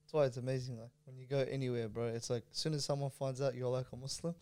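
A man's voice: medium pitch, fairly even intonation, quick pace, mellow, clean tone. A young Australian man chatting casually on a podcast, laid-back and slangy, often saying bro.

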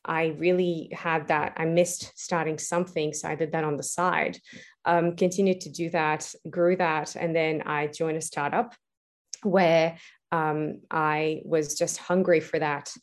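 A woman's voice: low-pitched, level tone, a little slow. A young adult woman being interviewed as an entrepreneur, reflecting aloud with many fillers and restarts. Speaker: guest, entrepreneur. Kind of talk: casual interview answers.

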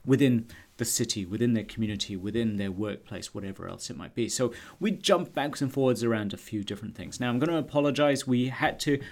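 A man's voice: mid-range voice, quick pace, few pauses, a little mellow. A man hosting a casual interview, chatty with rambling lead-ins, recorded at an outdoor cafe. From England. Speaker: podcast host. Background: cafe and traffic noise.

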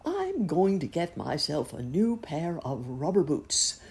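A gentle, well-mannered old bunny gentleman from a bedtime storybook, as a woman puts him on. The voice is low and raspy with some gravel. The delivery is polite and kindly. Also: wildly swooping pitch, slow, full character voice.

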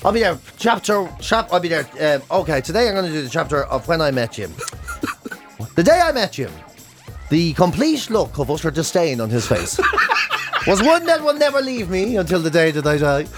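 A man's voice: a little high, sing-song, moderate pace, music in the background. An Irish man hosting a radio show, telling comic stories in casual banter and acting out imagined dialogue. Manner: acts out imagined dialogue in anecdotes. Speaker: radio and podcast host.

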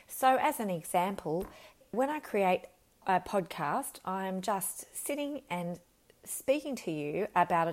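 A woman with a slightly croaky voice, talking earnestly and reflectively as a podcast host and coach, with frequent ums and self-corrections. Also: on the low side, darkish tone. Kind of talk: unscripted solo podcast talk.

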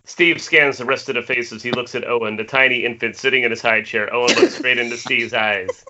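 Very bright, forceful male narrator. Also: mid-range voice, steady tone, a little fast. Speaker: stage-direction reader. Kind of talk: scripted narration, amateur screenplay table read. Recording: dull, noisy internet call with clicks.

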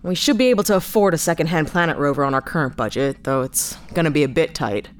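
Dry, cynical starship captain. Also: female voice, low voice, animated, fast. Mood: practical. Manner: wry, sarcastic, no-nonsense. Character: dry, cynical, practical female spaceship captain. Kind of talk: sci-fi audio drama acting.

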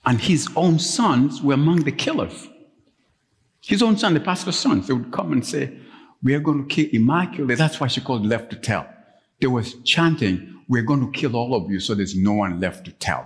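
A middle-aged man from Uganda preaching a sermon as pastor, telling stories and jumping up to high, emphatic peaks when stirred. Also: animated, moderate pace. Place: church. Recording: long reverb of a church.

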